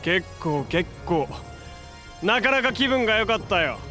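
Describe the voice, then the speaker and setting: Hot-blooded hero kid, brash and emotional, voice jumping all over, audio drama. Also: male voice, high-pitched, sing-song, a little slow, sharp tone, soft background music, heavily acted. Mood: cocky, taunting. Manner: brash, emotional, rough slangy talk. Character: cocky, hot-blooded, defiant young male hero. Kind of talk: Japanese audio drama acting.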